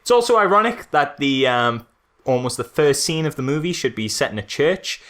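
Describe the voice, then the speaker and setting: Bright, animated sarcastic male podcast host. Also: a little high, moderate pace. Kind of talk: casual film critique chat.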